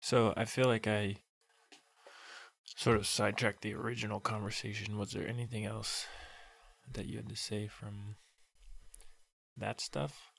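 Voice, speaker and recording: Flat, gravelly man asking gentle questions. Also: fairly low pitch, measured pace, pauses often. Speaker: podcast co-host. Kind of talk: casual faith conversation.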